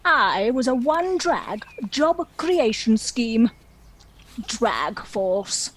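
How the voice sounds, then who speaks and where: A woman's voice: fairly high pitch, big pitch swings, very slow, a little hiss or hum, heavily acted, low-quality recording. A woman playing a proud, lonely, world-weary dragon in a comic play, with a theatrical, wry and indignant manner. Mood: wry, boastful. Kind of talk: dramatic monologue in a comic play.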